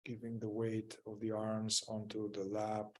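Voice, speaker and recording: Slow, even-toned man guiding meditation. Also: on the low side. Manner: gentle, unhurried, with long pauses between short phrases. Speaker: meditation teacher leading a group. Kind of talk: guided mindfulness meditation.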